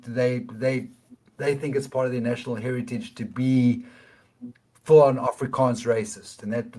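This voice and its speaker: Cape South African man explaining haltingly. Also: mid-range voice, dark tone. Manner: halting, many restarts, tags points with "okay?". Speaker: guest. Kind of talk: explanatory interview talk.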